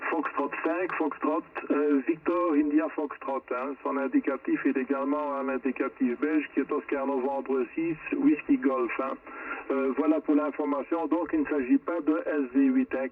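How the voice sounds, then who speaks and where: A man's voice: medium pitch, fairly even intonation, unhurried, a little hiss or hum. Man on tinny, distant shortwave radio. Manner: matter-of-fact, tags phrases with "hein". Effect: shortwave radio transmission. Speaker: amateur radio operator. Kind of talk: ham radio contact with spelled call signs.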